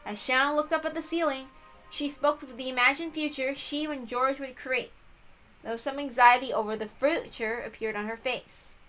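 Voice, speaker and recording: Woman, story narrator, bright tone, medium pitch, expressive scripted reading, faint music underneath. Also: normal speed, poor audio. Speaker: reader of visual novels.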